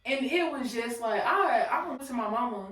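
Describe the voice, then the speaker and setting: A woman's voice: on the high side, lively intonation, moderate pace, fairly bright tone. A woman in her late twenties co-hosting a podcast, chatting loosely and telling stories with lots of laughter. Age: 20s. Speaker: podcast co-host. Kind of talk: casual chat. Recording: echoey room, mic at a distance.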